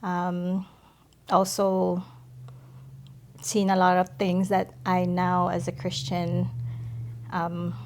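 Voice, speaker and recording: Filipina woman, middle aged, guest on a faith podcast, low flat voice, talks slow with lots of ums. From Philippines. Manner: soft, hesitant, many pauses and fillers. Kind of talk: personal faith testimony.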